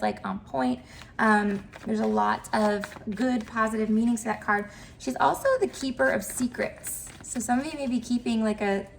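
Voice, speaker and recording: Chatty woman with a stuffy, gravelly voice. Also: fairly high pitch, a little hiss or hum. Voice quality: cracky, nasal. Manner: chatty, upbeat, many fillers. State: mild cold, nasal and stuffed up. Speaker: tarot reader. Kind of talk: casual tarot reading to camera.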